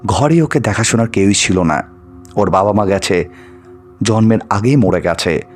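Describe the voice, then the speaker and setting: A man tells a scripted ghost story in a fairly low voice. His delivery is dramatic and expressive, with wide swings in pitch. Soft music plays behind him. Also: a little slow. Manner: expressive, acts out the dialogue of characters. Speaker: storyteller and narrator of ghost stories.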